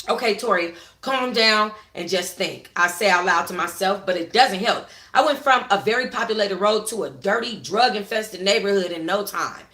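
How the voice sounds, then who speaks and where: A woman's voice: mid-range voice, fairly bright tone. A woman hosting a book club livestream at home on her phone, reading expressively and talking to viewers in an informal, slangy, conversational way. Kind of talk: expressive read-aloud with casual asides to viewers. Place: room at home. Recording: roomy echo on a phone livestream.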